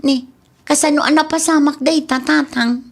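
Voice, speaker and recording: A woman's voice: sits high, steady tone, normal speed, creaky. A woman playing a caring, self-sacrificing elder daughter in a radio drama, earnest and concerned. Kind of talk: amateur radio drama acting.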